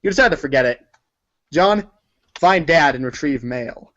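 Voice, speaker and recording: Casting: male storybook narrator, higher-pitched voice, second-person narration, slightly muffled lo-fi sound. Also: lively intonation, moderate pace, fairly bright tone. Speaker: narrator of a group reading. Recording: dull lo-fi audio.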